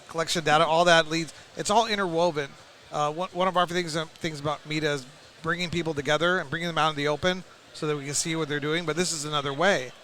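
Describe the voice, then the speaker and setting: Bright, effusive male podcast host. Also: on the high side. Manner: effusive and complimentary, loose and rambling. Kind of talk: casual interview.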